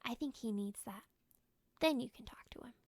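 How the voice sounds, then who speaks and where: A woman voicing a calm, considerate storybook father in a quiet, measured way. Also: slightly rough. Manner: quiet, measured, low-key.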